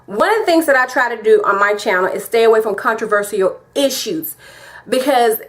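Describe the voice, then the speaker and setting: Southern US woman vlogger, slightly high voice, emphatic, repeats her points, direct address to camera. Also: expressive, brisk. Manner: emphatic and repetitive, talks straight to viewers. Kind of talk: casual opinion vlog.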